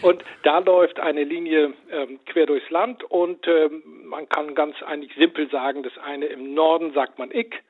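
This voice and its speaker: A man who directs a language institute, explaining things on a radio interview by phone in a measured, lecturing way with frequent "ähm" fillers. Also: a little high, fairly even intonation. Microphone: telephone. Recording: tinny phone line.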